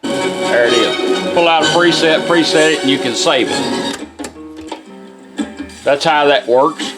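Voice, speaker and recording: A man's voice: a little high, lively intonation, measured pace, music in the background. A man giving an informal hands-on product demonstration in a folksy, matter-of-fact way as a restorer.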